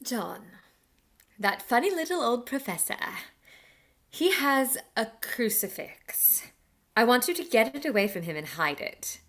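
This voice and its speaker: A woman's voice: a little high, very animated, a little slow, a bit bright, exaggerated voice. A woman playing a bewitched young fiancée, secretive and melodramatic, in an old-time radio play. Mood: sly, hushed.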